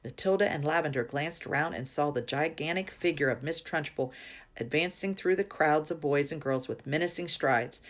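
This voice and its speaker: A woman reading a children's story aloud in an expressive narrating style. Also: low-pitched, moderate pace. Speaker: reader.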